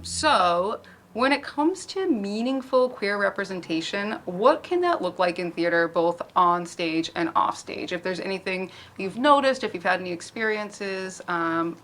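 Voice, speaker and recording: A woman's voice: lowish voice, fairly bright tone. A woman speaking casually and informally as the moderator of a panel at a public event. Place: public library event space.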